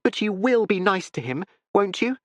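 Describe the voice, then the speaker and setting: A man's voice: high-pitched, very animated, moderate pace, no room sound, voice pushed up. A man reading an audiobook and voicing a spirited young woman who pleads breathlessly and urgently. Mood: anxious.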